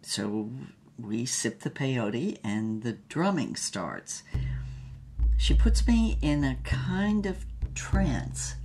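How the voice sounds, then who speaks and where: A woman's voice: extremely low pitch, sing-song, extremely slow, warm tone, soft background music, full character voice. An actress playing an older, reflective woman with a wry, emphatic way of telling her mystical life story in a drama. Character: old woman, reflective mystical storyteller and former fugitive artist. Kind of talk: dramatic first-person storytelling, acted as conversation.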